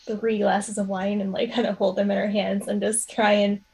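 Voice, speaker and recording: A woman's voice: medium pitch, flat delivery, normal speed, gravelly, darkish tone. A woman playing a tabletop game, talking in a casual, chatty way. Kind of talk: casual chat.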